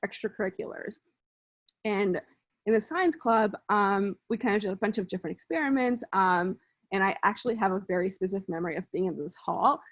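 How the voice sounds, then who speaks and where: A young woman from Bangladesh talks through her path into science in a relaxed, conversational way, explaining things step by step. Her voice sits at an ordinary middle pitch. The recording sounds slightly muffled and lo-fi. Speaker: research scientist telling her story. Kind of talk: personal storytelling talk.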